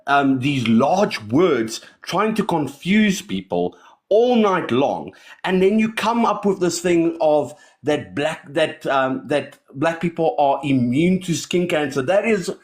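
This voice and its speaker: Indignant, slow-talking man in debate. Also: a little high, big pitch swings. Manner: indignant, confrontational. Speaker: debate participant. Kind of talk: heated debate rebuttal.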